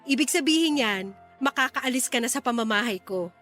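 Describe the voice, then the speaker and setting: A woman's voice: sits high, lively intonation, fairly quick, a bit bright, faint music behind. An actress with a Filipino accent playing a fed-up, fiery mother in an emphatic radio drama style. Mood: angry. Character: fed-up, fiery mother confronting her lying ex.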